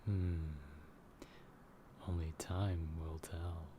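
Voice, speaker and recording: A man playing an ancient, coldly curious vampire in an audio roleplay, musing with soft menace and amusement. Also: low-pitched, flat delivery, voice pushed down. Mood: amused, musing. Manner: slow, musing, softly menacing. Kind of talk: dramatic audio roleplay monologue. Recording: noisy track with storm ambience.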